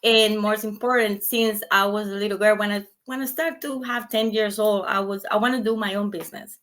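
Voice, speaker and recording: A woman's voice: medium pitch, fairly even intonation, brisk, a bit bright. A woman from Costa Rica, a native Spanish speaker with a Costa Rican accent, telling her story casually as a podcast guest.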